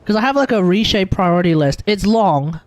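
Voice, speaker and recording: High-pitched Australian man livestreaming. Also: animated, brisk, no echo. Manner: blunt, rambling, emphatic, slangy, thinking aloud. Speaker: livestreamer, gaming content creator. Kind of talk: casual livestream commentary. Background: music in the background.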